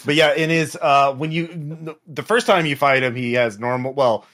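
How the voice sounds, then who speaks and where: A man who speaks as game master in a casual post-game explanation, haltingly, trailing into pauses and swearing now and then. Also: mid-range voice, fairly bright tone. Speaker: game master of a tabletop role-playing podcast.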